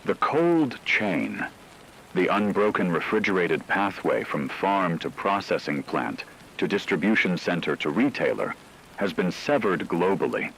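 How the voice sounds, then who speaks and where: Guy acting as a grave emergency radio announcer, deep voice, measured n formal, some noise behind him. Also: fairly bright tone, rough recording. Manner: measured, formal, somber delivery. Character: grave male emergency radio announcer during global blackout. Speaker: radio news broadcaster. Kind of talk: scripted emergency radio broadcast narration.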